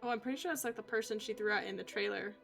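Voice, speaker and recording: A woman's voice: medium pitch, rapid-fire, gravelly, a bit bright, faint music behind. A woman giving casual live commentary as a game streamer.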